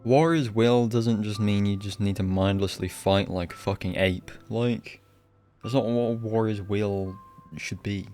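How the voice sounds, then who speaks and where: A man with a fairly low voice commentates on a game livestream. He talks casually and bluntly, ranting and swearing in exasperation, with music playing behind him. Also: fairly even intonation, a little slow, a little mellow. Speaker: game streamer.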